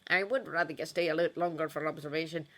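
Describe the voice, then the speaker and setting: A woman's voice: low-pitched, steady tone, quick pace, a bit bright. A woman reading as an elderly, formal wizard healer. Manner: formal and hesitant.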